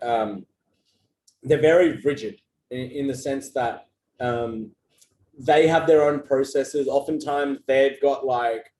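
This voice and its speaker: A man's voice: mid-range voice, darkish tone, slight background noise. Man talking in an echoey room. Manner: conversational, many fillers and restarts. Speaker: agency co-founder giving customer feedback. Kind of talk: casual interview answers. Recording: echoey room with faint ambience.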